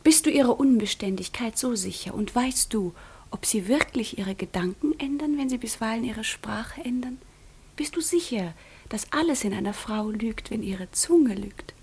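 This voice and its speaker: Haughty hurt young noble lady, high breathy voice, teasing then cutting, radio play style. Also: expressive, moderate pace, a little mellow. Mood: probing, challenging. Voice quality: breathy, often dropping to a whisper. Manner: theatrical, teasing, accusing. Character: proud, sharp-tongued, wounded young noblewoman back from convent. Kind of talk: German stage-classic radio drama acting.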